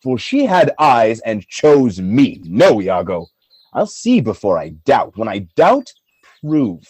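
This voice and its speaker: An actor playing a noble general sliding into anguished jealousy, declaiming Shakespearean verse for a radio play in a husky voice. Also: male voice, mid-range voice, very animated, slow, a little mellow, dry recording, exaggerated voice. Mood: proud, defiant. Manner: theatrical, declamatory verse delivery.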